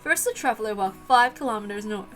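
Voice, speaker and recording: A woman's voice: medium pitch, lively intonation, fast, fairly bright tone, faint music behind, close to an everyday voice. A woman voicing a cheerful, encouraging aunt in a visual novel read-aloud, playful and reassuring.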